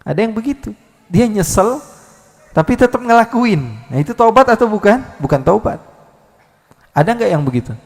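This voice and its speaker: Gravelly-voiced preacher guy, kinda high voice, booming echo like a big hall, loud then hushed. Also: normal speed, long pauses. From Indonesia. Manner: lively, swinging from loud calls to soft asides, rhetorical questions. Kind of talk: live Islamic sermon. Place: large hall at a live religious gathering.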